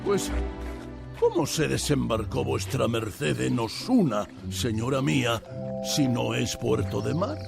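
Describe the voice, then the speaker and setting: An actor playing an old, deluded knight in a radio drama, courtly and earnest, with a gravelly voice. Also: male voice, medium pitch, sing-song, takes it slowly, music in the background, exaggerated voice. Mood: solemn, earnest.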